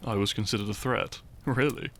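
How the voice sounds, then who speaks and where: A man playing a shy young farmer turned hero in an intimate romantic audio roleplay, tender and teasing, speaking softly and haltingly. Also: lowish voice, gravelly, a little hiss or hum. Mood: playful, defensive. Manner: soft, hesitant, halting, sighing and chuckling.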